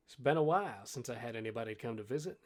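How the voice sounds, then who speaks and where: A man's voice: medium pitch, expressive, fast talker, close to an everyday voice. A man voicing a sly, friendly red tiefling outcast in a tabletop game, casual and a little hesitant. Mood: affable. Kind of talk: tabletop roleplay.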